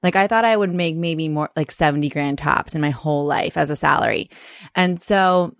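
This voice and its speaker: Low-voiced frank woman podcast co-host. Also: moderate pace, creaky, clear, resonant tone, no echo. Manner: earnest, frank, emphatic, occasional swearing. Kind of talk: casual podcast chat.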